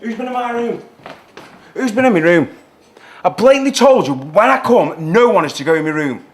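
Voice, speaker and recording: Angry young man, swinging pitch. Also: animated, moderate pace. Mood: angry, accusing. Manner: confrontational, emphatic, repeats himself. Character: angry, menacing young male extremist student. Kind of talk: dramatised film acting.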